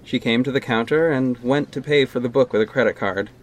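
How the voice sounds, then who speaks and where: A man's voice: medium pitch, fairly quick, lightly acted. A man narrating an audio drama in the first person, with a wry, casual storytelling manner.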